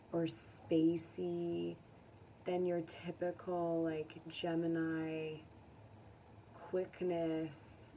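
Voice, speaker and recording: An American woman talks through a tarot reading in a fairly low voice. She is conversational and unscripted, musing and pausing as she thinks aloud to her viewers. The mic sits at some distance, with faint noise behind her. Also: dark tone. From United States. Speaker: tarot reader.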